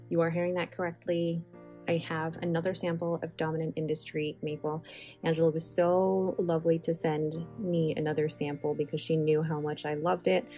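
A woman talks through a casual voice-over with chatty enthusiasm and rambling asides. Her voice sits fairly low. Soft music plays faintly behind her. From Filipino heritage. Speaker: stationery channel host. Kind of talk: casual voiceover while swatching inks. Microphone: voice-over recorded separately.

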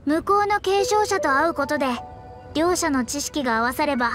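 A woman's voice: high voice, lively intonation, brisk. A woman playing a proud, confident young female astrologer in an anime game, speaking politely with a boastful, self-assured manner. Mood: explanatory. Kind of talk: anime game character dialogue. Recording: background music under clean voice.

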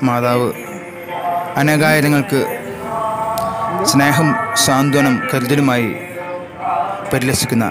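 A man with a Malayali accent narrating a travel vlog slowly and in measured, short separated phrases. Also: medium pitch, steady tone. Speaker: vlogger. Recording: loud music over the voice. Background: loud music.

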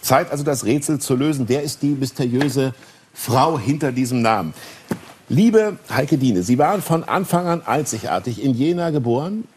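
A middle-aged man hosting a talk show, presenting and interviewing effusively and ceremoniously, with hesitant pauses. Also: mid-range voice, expressive, darkish tone. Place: large TV talk show studio with audience. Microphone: lapel mic. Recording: studio audience noise.

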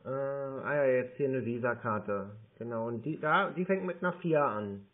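Calm man on a tinny phone line. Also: medium pitch. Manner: calm and unhurried, reading digits one by one. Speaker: caller posing as a card holder. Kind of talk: phone call.

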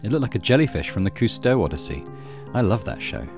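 Low male voice, let's play host, lively intonation, narrating and commenting, faint music. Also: fast. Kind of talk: reading game narration aloud, with casual commentary.

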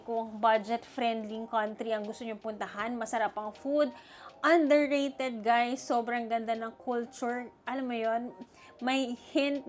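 A young adult woman from the Philippines, a travel vlogger talking through her trip budget in a chatty, animated way. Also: on the high side. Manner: chatty, animated, mixing Tagalog and English. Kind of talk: casual vlog chat with budget talk.

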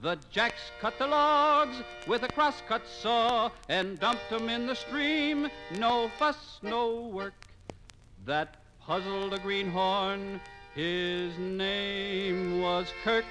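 High, very slow folk balladeer. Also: male voice, low-quality recording. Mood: playful, folksy. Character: folksy singing balladeer spinning lumberjack tall tales. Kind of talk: sung folk ballad with musical backing. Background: music.

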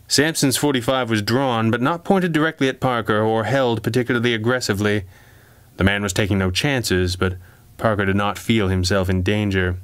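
A man's voice: lowish voice, fairly bright tone, clear, resonant tone, no room sound. A man narrating a scripted pulp crime story as an audiobook reader.

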